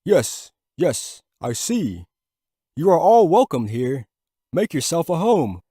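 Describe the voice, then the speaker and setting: A man's voice: fairly high pitch, sing-song, takes it slowly, warm tone, breathy edge, no room sound, clean studio sound, heavily acted. A man playing a genial, gracious doctor and host in an audio story. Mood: gracious, welcoming.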